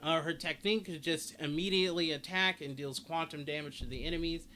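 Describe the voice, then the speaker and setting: Higher-pitched man, casual gaming guide, noisy recording. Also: fairly quick, pushed and bright. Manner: rambling, repeats and rephrases himself. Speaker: gaming video host. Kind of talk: casual game character guide commentary. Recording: clearly noisy home recording.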